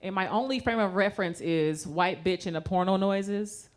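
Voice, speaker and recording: A woman performing a stand-up comedy set on stage before a live audience, brash and punchy, and quick to break into acted-out quotes. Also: mid-range voice.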